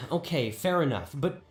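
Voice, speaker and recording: A woman voicing a young, argumentative and expressive character in a video game, quick to challenge others. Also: low voice. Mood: exasperated. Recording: roomy echo, faint music behind.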